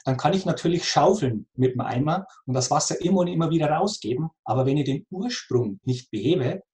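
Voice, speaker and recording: A man's voice: a little high, animated, fairly quick, darkish tone. Earnest Bavarian man in his forties. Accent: Lower Bavarian. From Lower Bavaria, Germany. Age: 40s. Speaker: coach, interview guest. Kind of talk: conversational explaining.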